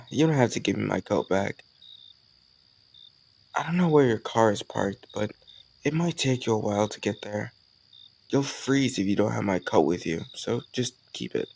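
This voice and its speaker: A man acting a warm, teasing young suitor in a romantic audio roleplay, talking casually and intimately to the listener. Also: medium pitch, creaky. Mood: tender, reassuring. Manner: casual, gently teasing, conversational with soft chuckles.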